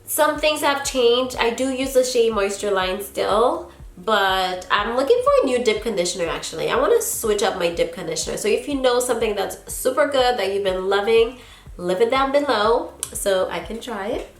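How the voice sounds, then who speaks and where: A woman's voice: a little high, lively intonation, echoey room. A young woman from Haiti chatting casually to camera as a YouTuber, answering viewer questions with frequent laughs. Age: young adult. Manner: chatty, laughs often, drawn-out "girl" asides. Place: small indoor studio in front of a plain backdrop.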